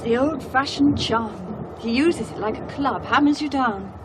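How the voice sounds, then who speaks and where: High-pitched genteel, calculating woman. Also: a little slow. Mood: dry, cool. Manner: polite, genteel, composed. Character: poised, coolly calculating woman feigning polite charm. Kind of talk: radio drama acting. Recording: noisy 1980s radio drama, vehicle rumble.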